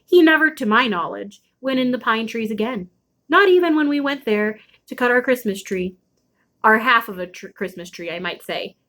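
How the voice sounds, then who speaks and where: A woman reading a children's chapter book aloud expressively, with dramatic pauses and drawn-out emphasis. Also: a little high, animated, a bit bright. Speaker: storybook read-aloud host.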